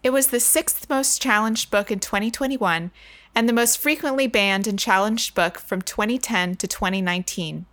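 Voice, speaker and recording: Female podcast host, medium pitch, scripted intros and friendly discussion. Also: normal speed, fairly bright tone.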